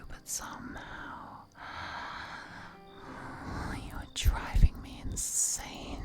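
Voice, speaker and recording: Woman acting a slow, whispering, seductive ancient vampire lady. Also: medium pitch, dark tone. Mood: intense, hungry. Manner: breathy whispering, slow, teasing, formal courtly phrasing. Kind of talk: intimate roleplay audio acting, mostly whispered.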